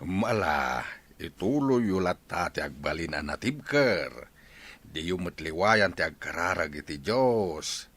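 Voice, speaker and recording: An actor playing a doting, pious old grandfather in a radio drama, hearty and drawn-out in his delivery. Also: sits low, fairly bright tone, exaggerated voice.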